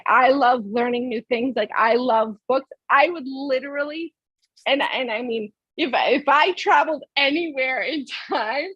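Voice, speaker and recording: A woman co-hosting a podcast in a casual, excitable chat, laughing and restarting her sentences as she talks over the others. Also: high-pitched, breathy edge, no room sound, lo-fi sound. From United States, Puerto Rican American. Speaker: podcast co-host.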